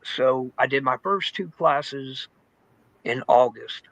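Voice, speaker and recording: Bright-voiced man with a gravelly edge. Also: medium pitch, slow, no echo, poor audio. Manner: informal, candid, peppered with "man", "dude" and "you know". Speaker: testimony guest in recovery. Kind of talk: personal testimony, conversational storytelling.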